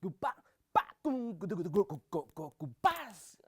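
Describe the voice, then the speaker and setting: A man teaching a music workshop, counting in the students and singing drum patterns aloud in an animated way. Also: high-pitched, normal speed, slightly rough, no echo, clean studio sound.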